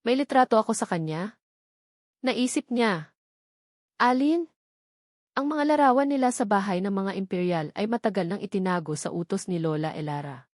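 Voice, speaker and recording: A woman's voice: mid-range voice, normal speed, pauses often, darkish tone, no room sound, clean studio sound. A woman with a Filipino accent reading a scripted dramatic story as its narrator.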